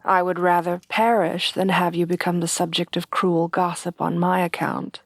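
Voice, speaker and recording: A woman playing a refined, tender headless horsewoman bride in a soft-spoken ASMR roleplay, with formal, genteel phrasing. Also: lowish voice, flat delivery, moderate pace, dry recording. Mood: anxious, confiding. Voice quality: soft, breathy at times.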